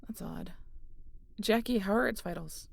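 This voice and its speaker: A woman's voice: medium pitch, normal speed, gravelly, slightly rough. A woman playing a guarded, sarcastic starship captain and biologist in a sci-fi audio drama, dry and often muttering. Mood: concerned, businesslike. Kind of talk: scripted sci-fi audio roleplay.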